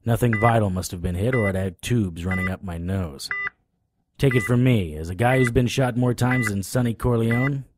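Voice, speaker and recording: An actor playing a world-weary supernatural private eye, narrating an audio drama in a wry, deadpan way. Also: male voice, lowish voice, normal speed, background noise, natural voice. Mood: wry, recollecting. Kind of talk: noir first-person audio drama narration.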